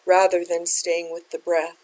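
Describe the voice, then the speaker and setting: A woman leading a guided meditation as a teacher, speaking in a gentle, unhurried, measured way. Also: on the low side, monotone, poor audio.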